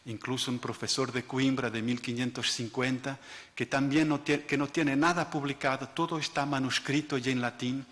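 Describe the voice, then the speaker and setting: A man's voice: mid-range voice, fairly even intonation, normal speed, a bit bright. A Portuguese man, a non-native Spanish speaker with a Portuguese accent, giving an academic lecture with frequent hesitations and restarts. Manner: hesitant, many filler sounds and restarts. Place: lecture hall. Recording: reverberant lecture hall.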